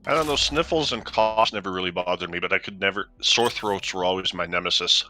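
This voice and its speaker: A man's voice: sits low, a little fast, sharp tone, slight background noise, poor audio. A man chatting casually as a fellow player on a gaming stream. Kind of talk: casual chat while gaming.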